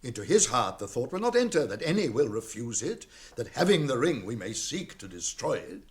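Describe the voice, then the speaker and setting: An actor with a British accent playing a wise, commanding old wizard in a radio fantasy drama, speaking formally and deliberately. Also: male voice, medium pitch, big pitch swings, lightly acted. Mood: shrewd, urgent.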